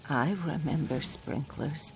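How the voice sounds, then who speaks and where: A woman's voice: sits low, fairly even intonation, takes it slowly, a little mellow, slight background noise. An actress playing a timid, doubtful old woman in a radio drama, tentative and questioning. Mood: dawning wonder.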